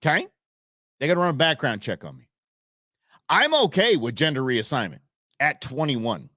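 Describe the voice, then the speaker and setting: A man's voice: a little high, very animated, moderate pace, bright, forceful tone, dry recording, studio quality. A man in his forties hosting a podcast, talking in a blunt, emphatic way. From United States. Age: 40s. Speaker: podcast host. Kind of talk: casual political talk.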